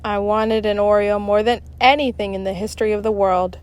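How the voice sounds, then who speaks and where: A woman reading a children's book aloud in an expressive, emphatic storytelling style. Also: medium pitch, brisk. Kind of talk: read-aloud story narration.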